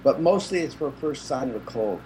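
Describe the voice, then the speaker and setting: A man guest in a casual chat, jokey and animated, speaking over a video call. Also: mid-range voice, fairly quick, slight background noise, rough recording. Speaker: interview guest.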